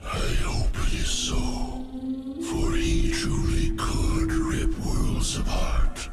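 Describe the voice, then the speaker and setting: Heavily echoing alien mystic. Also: male voice, very high voice, wildly swooping pitch, extremely slow, full character voice. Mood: grave, foreboding. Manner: formal, portentous. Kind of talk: video game cinematic acting. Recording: heavily echoing voice over loud music.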